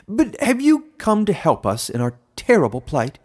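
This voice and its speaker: A man voicing a small, timid hedgehog creature in a squeaky, halting, eager voice for a fantasy story. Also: wildly swooping pitch, darkish tone, full character voice. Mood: hesitant, hopeful. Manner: halting, unsure.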